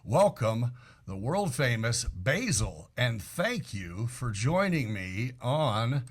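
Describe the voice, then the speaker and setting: A man's voice: mid-range voice, very animated, slow. A man hosting a business podcast, reading a scripted guest introduction and then chatting in a friendly way. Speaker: podcast host.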